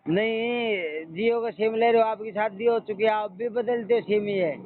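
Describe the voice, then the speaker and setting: A man's voice: high-pitched, unhurried. A man with a Haryanvi accent speaking as a caller on a phone line, in a rustic, blunt and persistent manner. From Haryana, India. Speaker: customer calling a helpline. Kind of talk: joking phone call. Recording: noisy, tinny phone call recording.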